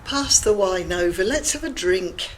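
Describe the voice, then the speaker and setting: A woman's voice: mid-range voice, expressive, unhurried, slight background noise, lightly acted. A woman playing Gabby, a cheeky, provocative middle-aged friend who mocks and prods with blunt humour in an audio drama. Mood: mocking. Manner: teasing, blunt and mocking.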